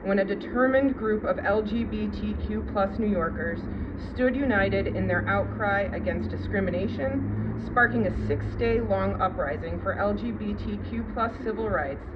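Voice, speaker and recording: A woman's voice: mid-range voice, moderate pace, hardly any pauses. A woman delivering a formal public speech at a ceremony, reading out an official proclamation. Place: outdoor public ceremony at a city hall. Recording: noisy outdoor public-address recording, muffled, traffic.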